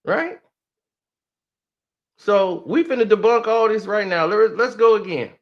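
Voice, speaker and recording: A man's voice: sits high, lively intonation, unhurried, lo-fi sound. A man giving a heated, mocking sports commentary rant that repeats its points.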